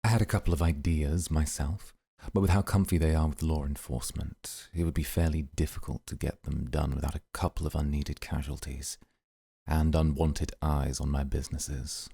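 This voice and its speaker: A man playing a stern, serious mafia boss in an ASMR roleplay, gravelly, measured and formal, with dry sardonic understatement. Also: rumbling low, fairly even intonation, dark tone, dry recording, full character voice. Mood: calculating.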